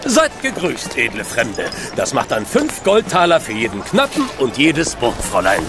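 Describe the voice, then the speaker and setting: An actor playing a jolly gatekeeper at a medieval fair, speaking in courtly mock-archaic phrases in a children's audio drama. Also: male voice, medium pitch, wildly swooping pitch, normal speed, over music.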